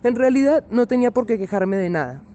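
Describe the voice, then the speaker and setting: A man acts out a reflective French hermit castaway in a radio drama monologue. His voice is notably high and mellow. Faint ambience sits behind it. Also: expressive, a little fast, dull audio, a little hiss or hum. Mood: calm, reflective. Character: French self-chosen castaway, former comfortable family man, solemn.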